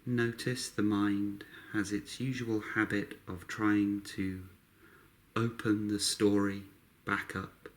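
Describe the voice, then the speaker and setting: Calm guy doing a guided meditation, lowish voice, really slow w long pauses. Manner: calm, unhurried, with long pauses between short phrases.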